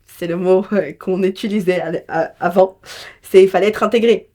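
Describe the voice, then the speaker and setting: A woman shares her experience as a guest in an interview. Her voice sits at a medium pitch, and she talks in an emphatic, colloquial, storytelling way. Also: steady tone, moderate pace, dark tone. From France. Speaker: interview guest, entrepreneur and business mentor. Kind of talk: conversational interview, personal storytelling.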